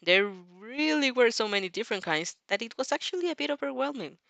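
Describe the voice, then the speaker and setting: A woman's voice: fairly high pitch, expressive, a little fast, creaky, fairly bright tone, no echo. A woman reading fan fiction expressively and informally, stumbling over and repeating phrases, with casual reactions of her own.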